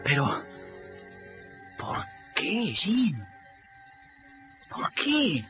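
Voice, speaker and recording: A man playing a cheeky runaway adolescent boy who narrates his river adventures in a colloquial, animated radio drama style. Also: high-pitched, extremely slow, heavily acted. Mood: astonished. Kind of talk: radio drama storytelling in first person. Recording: muffled radio production with music behind.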